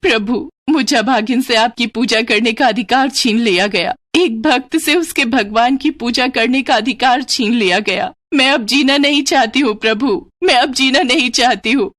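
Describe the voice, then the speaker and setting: A woman's voice: fairly high pitch, normal speed, hardly any pauses, fairly bright tone, voice pushed up. A woman voicing a devout, wronged young daughter-in-law who pleads earnestly and sorrowfully in a cartoon moral tale. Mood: pleading.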